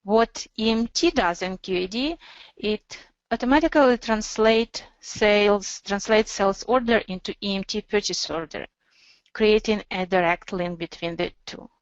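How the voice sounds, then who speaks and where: Female webinar presenter, mid-pitched, measured step-by-step software walkthrough, close and dry with slightly dull audio. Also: dry recording. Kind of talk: instructional software demo narration.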